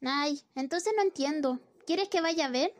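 High, flippant scolding wife for a radio drama, played by a woman. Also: a little slow. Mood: chiding. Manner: flippant, nagging. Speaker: student radio drama actor. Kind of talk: amateur radio play acting.

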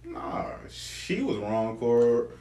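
A man's voice: mid-range voice, huge pitch swings, very slow, dark tone. A man telling a story in casual chat, blunt and crude, swearing freely. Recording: echoey room.

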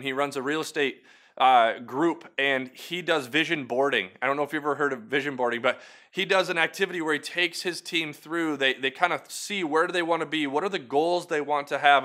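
A man in his thirties gives a short devotional talk to camera as its host. His voice is bright and a bit higher than most men's. He speaks earnestly and encouragingly, like he is chatting with the viewer. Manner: earnest, encouraging, conversational with asides. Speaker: host of a weekly video devotional.

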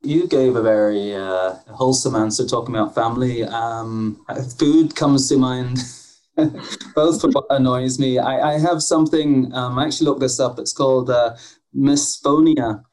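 A man's voice: mid-range voice, normal speed, darkish tone, low-quality recording. A man who grew up in Bangladesh, hosting a podcast conversation in a friendly, self-deprecating way with frequent restarts. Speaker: podcast host.

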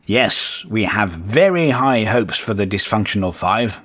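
A man playing a low-voiced, composed government official. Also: expressive, unhurried, a bit bright, slight background noise. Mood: composed, reassuring. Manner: formal, composed, diplomatic. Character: brisk, smooth-talking male government agency official. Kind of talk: comic audio drama acting.

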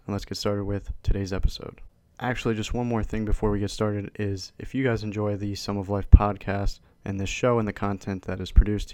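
A young man hosting a podcast, sounding congested from a cold, chatty and relaxed, laughing often. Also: on the low side, no room sound. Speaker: podcast host. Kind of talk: casual podcast chat.

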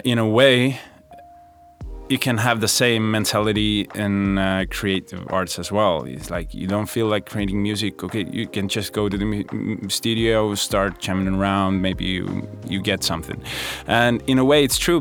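Deep-voiced hesitant man, faint music. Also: steady tone, moderate pace, creaky, fairly bright tone. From Estonia. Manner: hesitant, many fillers and restarts. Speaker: guest, music producer. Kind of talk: reflective interview answers. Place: podcast studio.

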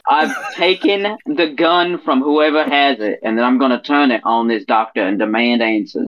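A man's voice: mid-range voice, normal speed, highs cut off. A man playing a tabletop game who mocks himself about his bad dice rolls. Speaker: player. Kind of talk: casual tabletop game banter.

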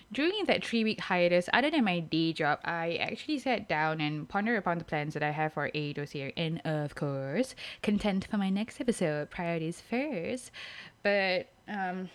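A woman, hosting a solo podcast in a chatty, jokey way with quick self-mocking asides. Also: on the low side. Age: 30s. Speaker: podcast host. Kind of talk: casual solo podcast monologue.

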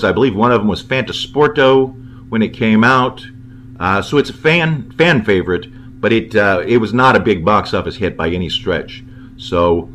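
A man's voice: fairly low pitch, fairly bright tone, slight background noise. A man giving an informal, opinionated film commentary in a rambling, conversational way. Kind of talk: informal film review monologue.

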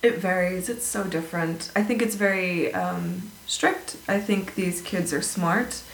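A woman chatting casually as a guest, telling animated stories about her teaching days and laughing easily. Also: lowish voice, unhurried. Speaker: guest, former English teacher. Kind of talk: casual conversational storytelling. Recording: echoey room with faint noise.

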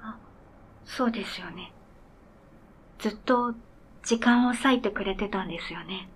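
A woman's voice: mid-range voice, unhurried, a little hiss or hum, close to an everyday voice. A woman playing an earnest, polite talking snowmobile in a radio drama, speaking formally and matter-of-factly.

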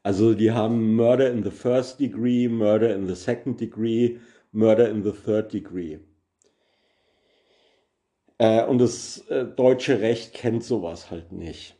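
A man's voice: lowish voice, fairly even intonation, a little slow, mellow. A man hosting a solo podcast, explaining a news topic carefully with many hesitations and filler sounds. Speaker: podcast host.

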